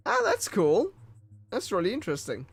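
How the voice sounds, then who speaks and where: A man's voice: high voice, wildly swooping pitch, moderate pace, creaky, slightly rough, soft background music. A young man and gaming streamer reading old computer ads aloud with his own commentary, tripping over words and laughing at himself.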